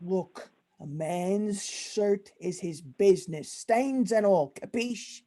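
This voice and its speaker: A woman in an amateur old-time radio comedy, playing an exasperated, henpecked middle-aged mob boss father with gruff mobster slang. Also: mid-range voice, takes it slowly, mellow. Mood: defensive.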